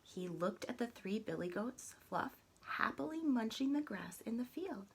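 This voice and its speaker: A woman reading a children's story aloud in an expressive, deliberate, dramatic way. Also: a little high, slightly rough. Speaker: storybook reader.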